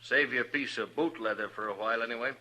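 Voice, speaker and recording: A man's voice: mid-range voice, fast, bright, forceful tone. An American man playing a weary, folksy Old West drifter in an old-time radio western, with rustic, laconic speech. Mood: dry, folksy. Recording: noisy vintage radio recording.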